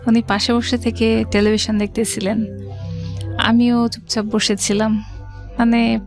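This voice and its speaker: A middle-aged woman telling her life story as a studio guest, conversationally, repeating and rephrasing as she goes. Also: on the high side. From Rajshahi, Bangladesh. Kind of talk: personal life-story narration. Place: radio studio. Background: music.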